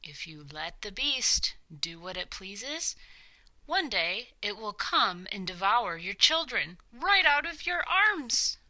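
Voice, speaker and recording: A woman's voice: medium pitch, very animated, slow pace, sharp tone, full character voice. A woman voicing an obsessed, blustering king who warns of a monster, in a children's storybook reading. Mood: alarmed, blustering. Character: King Minos, obsessed alarmist monster-hunting ruler, male.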